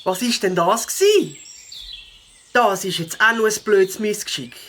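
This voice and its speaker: A woman's voice: lowish voice, a bit bright, slight background noise. A woman playing a plucky little beaver who loves mountain biking in a children's audio play, talking expressively with a Swiss German accent.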